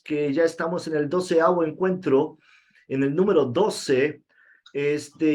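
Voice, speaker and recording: A man with as his hosts a video-call meeting with a light, raised voice. He talks loosely and informally, restarting phrases and drawing out his fillers. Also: fairly high pitch. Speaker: host and Spanish teacher.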